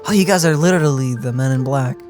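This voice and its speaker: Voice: mid-range voice, fast, over music. A gaming co-host giving casual, dryly sarcastic and questioning reaction commentary.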